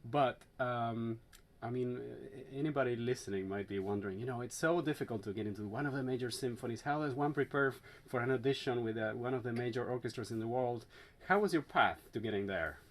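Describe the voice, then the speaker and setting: A man hosting an interview, asking thoughtful, measured questions with small hesitations. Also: medium pitch. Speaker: conductor, interview host.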